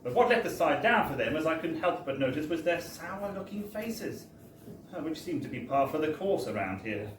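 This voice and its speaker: A man's voice: fairly high pitch, big pitch swings, moderate pace. Echoey British man reading a comic story live. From Britain. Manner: chatty, wry, theatrical storytelling with tag-on phrases. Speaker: reader at a book launch. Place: bar, live event. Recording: echoey live bar, distant mic, faint noise.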